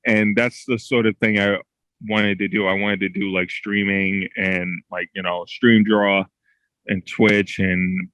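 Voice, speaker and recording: A man guesting on a podcast, speaking haltingly with many restarts and filler words. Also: on the low side, level tone, fairly bright tone, no echo, rough recording. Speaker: podcast guest, comic creator. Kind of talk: casual interview chat.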